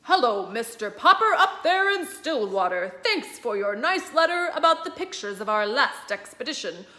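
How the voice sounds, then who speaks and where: A woman reading aloud, voicing a jovial male admiral speaking slowly over a radio broadcast. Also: on the high side, sing-song, pushed and bright, echoey room, pitched above the performer's own voice.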